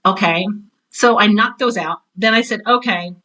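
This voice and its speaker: A middle-aged woman chatting casually and enthusiastically as an interview guest, telling stories in an animated way and acting out what people said. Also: medium pitch, normal speed, poor audio. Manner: animated storyteller, acts out quoted remarks. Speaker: interview guest, drama teacher and author.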